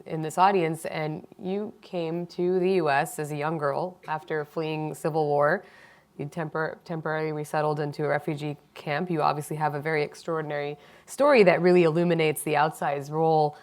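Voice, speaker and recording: A woman with a low voice moderates a panel discussion. She puts her questions in a measured way, with a few fillers along the way.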